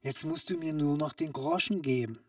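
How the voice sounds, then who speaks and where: A woman playing a sly, secretive street peddler in a comic audio play, coaxing in a hushed, conspiratorial way that often drops into whispers. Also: sits low, moderate pace, dry recording, clean studio sound, recorded from a distance. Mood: businesslike.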